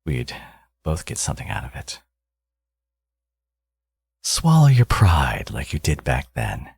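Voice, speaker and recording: Man acting as a flirtatious villain, coaxing, intimate audio roleplay, creaky husky voice, very dry close sound. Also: rumbling low, wildly swooping pitch, takes it slowly, a little mellow, dry recording, full character voice. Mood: seductive, persuasive.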